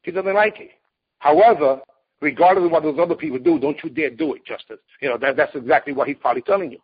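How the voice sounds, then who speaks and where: A man in his late forties who talks bluntly and emphatically, lecturing as he tells his story in an interview. His voice sits a little high and has a husky, slightly rough edge. The recording sounds dull and lo-fi, with the top end missing. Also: quick pace. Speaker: martial arts competitor and teacher.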